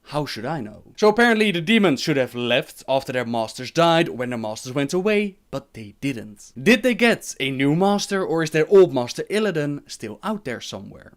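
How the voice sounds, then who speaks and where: A man's voice: on the high side, very animated, moderate pace, few pauses. A man narrating game lore in a scripted video style.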